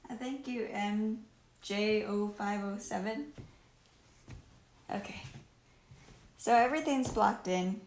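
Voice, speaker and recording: A woman with a croaky, creaky voice gives a casual painting tutorial at an unhurried pace. She thinks aloud in short phrases as she works. The live stream sounds roomy. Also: medium pitch, a little mellow. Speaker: painter teaching on a livestream. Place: indoors at home, live stream while painting. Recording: roomy live stream with faint noise and clicks.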